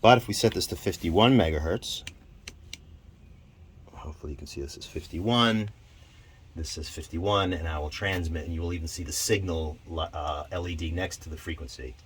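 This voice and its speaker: A man giving an informal hands-on equipment demonstration, halting and thinking aloud as he goes. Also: low voice. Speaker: presenter demonstrating radio equipment. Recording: clear background noise.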